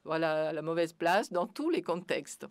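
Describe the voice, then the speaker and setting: A woman interpreting into French at a press briefing, steady and careful with small fillers. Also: sits low, normal speed, no room sound. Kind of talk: simultaneous interpreting.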